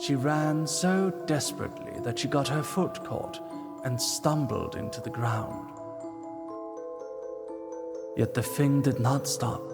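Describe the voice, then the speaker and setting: A man's voice: mid-range voice, takes it slowly, gravelly, long pauses, over loud music. A man giving solemn, dramatic scripted narration of a fantasy story.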